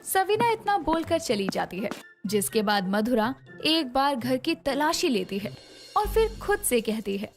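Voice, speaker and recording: Expressive female storybook narrator. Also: high-pitched, unhurried. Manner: dramatic, expressive. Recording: soft background music.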